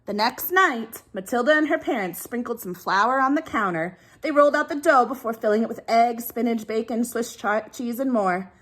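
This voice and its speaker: A woman teacher reading a children's storybook aloud in an expressive, emphatic way. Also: on the high side, moderate pace, few pauses. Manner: animated, emphatic delivery.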